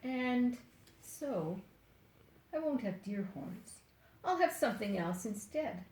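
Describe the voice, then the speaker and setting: An older woman reading a children's book aloud expressively and deliberately, playfully speaking straight to young listeners. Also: a little high, lively intonation, a little mellow, roomy sound. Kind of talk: expressive picture-book read-aloud with asides.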